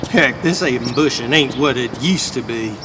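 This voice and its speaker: A man's voice: mid-range voice, expressive, normal speed, background noise, close to an everyday voice. A man voicing a dim-witted, easygoing cowboy henchman in a video game, with a folksy Southern US drawl. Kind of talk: video game cutscene voice acting. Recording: music behind the voice. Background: game music.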